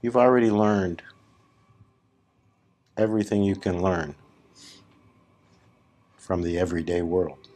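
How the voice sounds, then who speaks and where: A man with a deep voice guides a meditation as a spiritual teacher. He speaks very slowly and deliberately. His short phrases are set apart by long pauses. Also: little pitch movement, a little mellow. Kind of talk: slow guided meditation talk.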